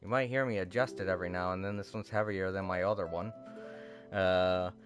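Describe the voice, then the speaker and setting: Man, low voice, sleepy, rambling livestream chat, game music behind. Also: fairly even intonation. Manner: rambling, halting with many fillers, self-deprecating. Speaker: streamer. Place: home room with a TV. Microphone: cardioid desk microphone.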